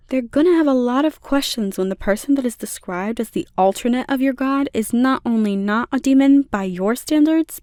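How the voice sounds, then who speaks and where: A woman voicing a gentle, caring slime monster girl with playful teasing, in a soft, intimate ASMR roleplay delivery. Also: fairly high pitch, dry recording, clean studio sound, natural voice.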